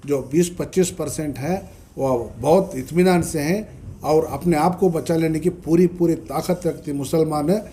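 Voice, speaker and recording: A man with a South Indian Dakhni Urdu accent giving a press statement as a spokesman, explaining haltingly and repeating himself with English terms mixed in. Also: a little high, warm tone. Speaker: party minority-wing official, spokesman. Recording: noisy press meet recording.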